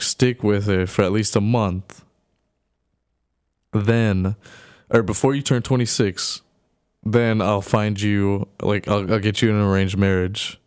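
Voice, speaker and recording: A man's voice: fairly low pitch, a little mellow, clean tone, dry recording, studio quality. A man in his early twenties chatting casually with a friend, talking hesitantly with many restarts. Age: 20s. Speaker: friend in conversation. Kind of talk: casual chat.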